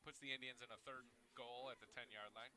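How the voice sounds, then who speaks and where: A man giving relaxed color commentary on a high school football game, easygoing and breaking down the plays. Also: a little fast, slight background noise. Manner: relaxed, easygoing analysis with fillers.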